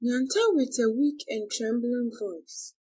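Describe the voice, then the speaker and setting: A woman tells a folktale in a deliberate, dramatic way. Her voice is fairly high and a little husky. She takes her time, with lots of rise and fall in her pitch. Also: poor audio. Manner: deliberate, expressive storytelling. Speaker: storyteller. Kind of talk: scripted dramatic folktale narration.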